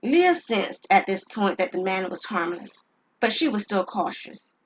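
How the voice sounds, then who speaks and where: A woman's voice: mid-range voice, very animated, unhurried, dull audio, slight background noise. A woman narrating a scripted dramatic story as the storyteller.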